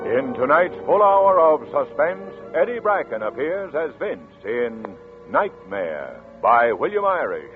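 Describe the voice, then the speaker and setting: A man's voice: mid-range voice, slow pace, faint music behind. A man giving polished, formal announcer introductions for a 1940s radio show. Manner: polished, lively announcer cadence. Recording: tinny lo-fi 1940s radio broadcast.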